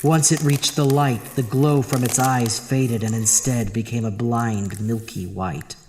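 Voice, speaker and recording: A man's voice: medium pitch, fairly even intonation, a little slow, darkish tone, slight background noise, natural voice. A man playing a tormented, terrified husband in a horror audio drama, dramatic and intense. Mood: haunted narration. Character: terrified, tormented husband narrating his own horror tale. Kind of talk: horror audio drama, first-person narration and dialogue. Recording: long reverb, music underneath.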